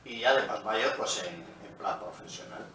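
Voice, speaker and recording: A middle-aged man with a slightly low voice recounts his life as a musician in a relaxed, storytelling manner. His phrases tend to trail off quietly at the end. He sounds distant from the mic in a very echoey room with faint background noise. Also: normal speed. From Pamplona, Spain. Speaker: guest musician. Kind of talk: relaxed interview answers.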